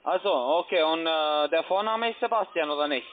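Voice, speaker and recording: High-voiced man on a phone line. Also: a little fast. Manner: polite, formal. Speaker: call-center agent. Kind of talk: phone conversation. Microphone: telephone. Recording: tinny narrow-band phone line.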